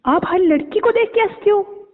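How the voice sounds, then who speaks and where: A man's voice: very high voice, normal speed. A man playing a coy, pushy, easily offended young woman with a Pakistani accent in an improvised phone prank. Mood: indignant. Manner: hesitant, needling, repeatedly questioning. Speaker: prank caller. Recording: echoey hall sound, dull phone-call audio.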